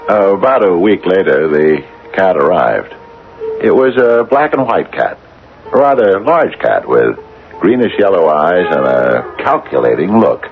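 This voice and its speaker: A very deep, gravelly, hesitant older widower. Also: male voice, big pitch swings, a little slow, faint music behind, heavily acted. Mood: savoring, delighted. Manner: hesitant, stammering, repeating himself. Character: hesitant, nervous retired widower near sixty, mildly eccentric. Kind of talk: radio drama acting. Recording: dull, noisy vintage radio recording.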